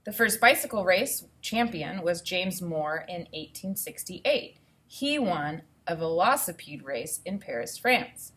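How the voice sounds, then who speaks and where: A woman teacher reading aloud to children and thinking out loud, explaining things step by step in an instructive way. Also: lowish voice, expressive, a little slow, few pauses. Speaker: elementary school teacher.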